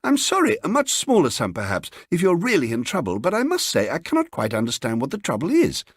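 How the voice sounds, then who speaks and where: A polite English wife in an uncanny story, played by a male narrator, lifted high in pitch with lively intonation. She is brisk and fussy, courteous yet firm and uneasy. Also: husky, no room sound, clean studio sound, exaggerated voice. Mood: apologetic, firm. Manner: courteous, fussy, self-correcting.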